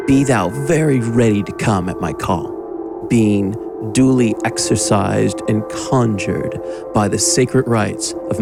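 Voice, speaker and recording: An actor playing a sinister, domineering occult magus and mentor in an audio drama, commanding and condescending. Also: male voice, on the low side, vocal fry. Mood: solemn, commanding.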